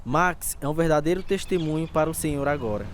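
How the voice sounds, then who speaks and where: A man's voice: on the high side, fast, lightly acted. An actor playing a devout, friendly builder with a Brazilian accent in a radio drama, a fervent man. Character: devout, friendly builder who distributes Bibles.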